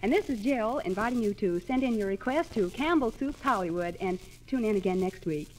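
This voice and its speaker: A woman's voice: medium pitch, animated, fairly quick, a little mellow, rough recording. A woman hosting a scripted 1940s radio variety show. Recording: vintage 1940s radio broadcast.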